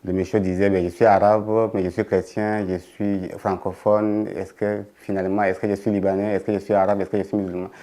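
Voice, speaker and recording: A man from Chad speaks in a deep, mellow voice that stays very level. He reflects as an interviewee, often pausing and restarting as he looks for the right word. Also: level tone. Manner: hesitant, searching for words. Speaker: documentary interviewee.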